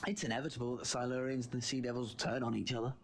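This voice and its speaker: A man's voice: mid-range voice, level tone, rapid-fire, slight background noise, lightly acted. A man with a British accent playing a wry, confident time traveler in a sci-fi audio drama, theatrical and flippant. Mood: playful. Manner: flippant, theatrical, swinging from playful to grave.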